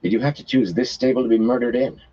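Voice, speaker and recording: A man's voice: medium pitch, fast talker, husky, pitched above the performer's own voice, close to an everyday voice. A man voicing an exasperated young man, on a muffled lo-fi recording.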